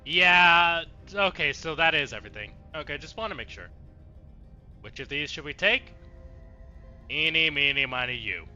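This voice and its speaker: Bright-voiced man streaming a game. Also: on the high side, expressive, moderate pace, highs cut off, faint music behind. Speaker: let's play streamer. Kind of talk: casual game commentary, reading choices aloud.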